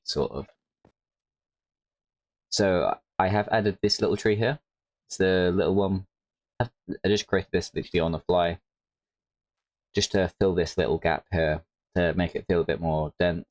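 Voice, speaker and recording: A man giving casual, rambling commentary over his gameplay, his voice a little hoarse. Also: low voice, no echo. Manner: rambling, self-correcting, with frequent "like". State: slightly hoarse. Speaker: Minecraft creator.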